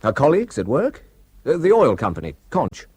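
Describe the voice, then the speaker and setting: An actor playing an anxious, politely persistent young British brother in a radio drama, hesitant and wry, with a husky voice. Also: male voice, mid-range voice, huge pitch swings, moderate pace, a little mellow. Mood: worried, pressing. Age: young adult.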